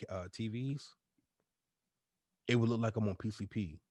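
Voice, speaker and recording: A man's voice: moderate pace, a little mellow, dry recording. A man co-hosting a podcast, telling a story in a casual, profane way and often repeating his words. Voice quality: deep voice. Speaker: podcast co-host. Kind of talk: casual storytelling among friends.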